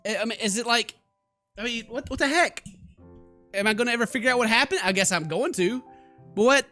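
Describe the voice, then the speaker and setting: A man's voice: very high voice, brisk, pushed and bright, soft background music. A man doing jokey, exclamatory, slangy commentary on a horror game while reading its dialogue aloud. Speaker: let's player.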